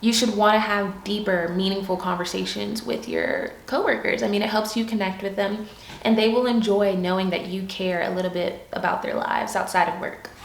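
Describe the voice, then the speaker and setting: A woman's voice: medium pitch, level tone, normal speed, gravelly, a little hiss or hum. A young woman giving casual, upbeat career advice in a chatty vlog style, with lots of "like"s. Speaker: YouTube creator, product and project manager. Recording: echoey room.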